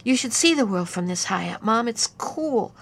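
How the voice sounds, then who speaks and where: A woman's voice: a little high, expressive, normal speed, gravelly, pitched above the performer's own voice. A woman voicing a giggly tipsy boy of ten. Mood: giggly taunting. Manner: giggling, slurry, singsong teasing.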